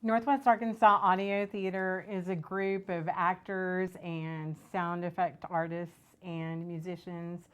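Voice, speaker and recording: A woman's voice: lowish voice, fairly even intonation, a little slow, few pauses, smooth. A woman speaking as a theater director, explaining things in an interview.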